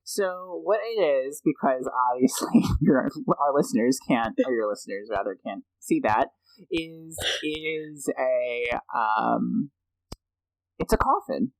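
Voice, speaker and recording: A man's voice: mid-range voice, lively intonation, slow pace, vocal fry, no echo, rough recording. A man guesting on a podcast, telling a story in a chatty, jokey way and reading quotes aloud. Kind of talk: casual storytelling chat.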